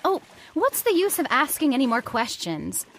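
High-voiced, anxious young woman, acted by a woman. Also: sing-song, moderate pace, a bit bright. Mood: confused, indignant. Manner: pleading, questioning, dramatic. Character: anxious, insistent young woman seeking family secrets. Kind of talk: old-time radio horror acting.

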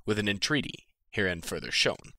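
A man's voice: lowish voice, sing-song, brisk, sharp tone, no room sound, exaggerated voice. Man acting a formal royal envoy, dry sound. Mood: dutiful, formal. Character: formal male envoy reporting to his king. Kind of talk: classical Shakespearean stage acting, dramatic reading.

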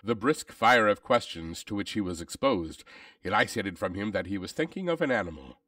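A man narrating a classic tale as a dramatic storybook reader, with measured, solemn phrasing. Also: fairly low pitch, lively intonation, a bit bright, dry recording, clean studio sound, far from the mic.

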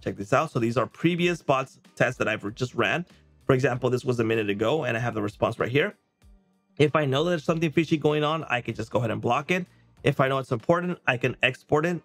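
A man's voice: mid-range voice, fairly quick, fairly bright tone. Man giving a casual tutorial over music. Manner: conversational, often checks in with okay and right. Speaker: software tutorial host. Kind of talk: casual screen-share walkthrough.

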